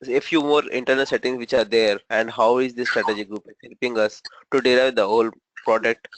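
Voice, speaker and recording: Man, trainer, slight cold, mid pitch, dry sound, video call. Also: fairly even intonation, brisk, dry recording, rough recording. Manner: explanatory, checks in with "right?". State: has a cold, voice low. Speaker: SAP trainer. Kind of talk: online training session.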